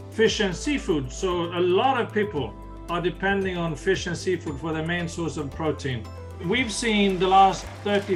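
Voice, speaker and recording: An older man with a Swedish accent and a high-pitched voice talks earnestly in an interview. He explains things patiently and sometimes restarts his phrases. Soft music plays underneath. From Sweden. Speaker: ocean conservation leader. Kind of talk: interview answers.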